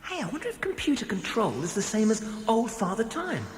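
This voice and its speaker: A performer playing an eager, excitable young boy in a children's audio drama. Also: medium pitch, brisk. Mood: curious. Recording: very noisy old cassette tape.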